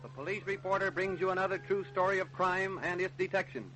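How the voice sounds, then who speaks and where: A man's voice: sits high, steady tone, fast talker, pushed and bright, rough recording. A male actor playing a formal, authoritative figure in an old-time radio crime drama, with declamatory delivery. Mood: announcing.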